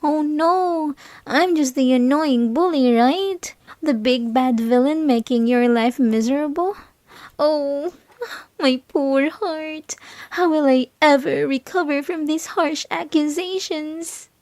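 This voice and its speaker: Woman acting a slow, taunting young female bully. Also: sits high, a little mellow, no room sound. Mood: mock hurt. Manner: taunting, condescending, teasing, with breathy whispered asides. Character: mocking, possessive young female bully, sweetly menacing. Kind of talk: ASMR roleplay, intimate scripted monologue to listener.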